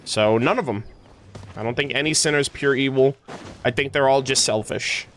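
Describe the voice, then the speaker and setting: A man with a mid-pitched voice chats casually to his stream. He rambles and thinks aloud, starting and restarting his sentences. Music plays faintly behind him. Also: moderate pace, fairly bright tone. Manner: casual swearing. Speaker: livestreamer. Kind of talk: casual chat with stream viewers.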